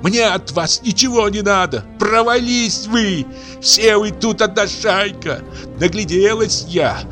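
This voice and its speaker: A shrill, enraged young woman hurls accusations, her voice pitched far up and sobbing with rage, as a male reader puts her on. Faint music hums underneath. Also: unhurried, pushed and bright. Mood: furious. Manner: shrieking accusations.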